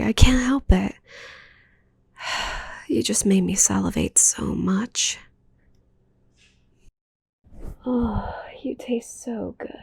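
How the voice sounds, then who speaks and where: A woman plays a huge, doting yandere witch in an ASMR roleplay. Her voice is very breathy and slips into whispers, slow and mellow with some creak. She coos and teases possessively, with menace under the sweetness. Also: on the high side, heavily acted. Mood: adoring, hungry. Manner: whispery, slow, cooing and teasing.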